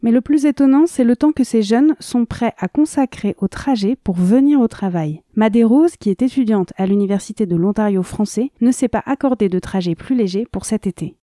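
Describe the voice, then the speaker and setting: A woman reporter reading scripted news narration in a measured, composed way. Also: on the high side, dark tone, dry recording, clean studio sound.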